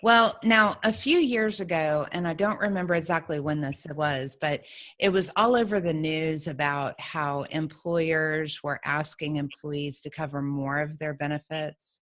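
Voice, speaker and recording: A woman's voice: deep voice, a little slow, a bit bright. A woman hosts a talk show. She speaks in a conversational interviewing style. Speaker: show host.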